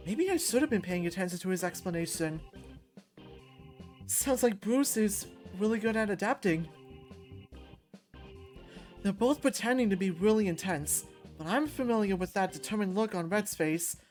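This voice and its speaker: A woman reading a visual novel aloud as expressive first-person story narration. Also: mid-range voice, normal speed, long pauses, faint music behind.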